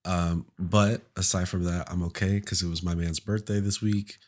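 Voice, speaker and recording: Congested low-voiced man, campy banter. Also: little pitch movement, moderate pace. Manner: campy, dramatic rants with laughter. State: congested from a cold. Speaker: podcast co-host. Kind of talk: casual chat recapping a reality show.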